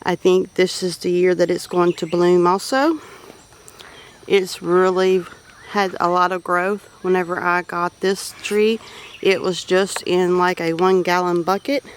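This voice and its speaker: A woman's voice: fairly low pitch, fairly even intonation, unhurried. Southern us lady chatting thru her garden, folksy n rambly, outside w some bird and rooster noise. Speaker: homestead vlogger giving a garden tour. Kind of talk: casual unscripted walk-through narration. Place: outdoors in a homestead garden. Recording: noisy outdoor recording with animal sounds. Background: birds, roosters and farm animals.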